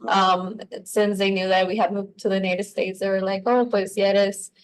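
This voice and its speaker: A woman's voice: medium pitch, flat delivery, moderate pace. Chatty young woman with a Spanish accent. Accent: Mexican Spanish. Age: young adult. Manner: chatty, heavy use of "like", switches into Spanish. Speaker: podcast co-host. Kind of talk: casual conversation.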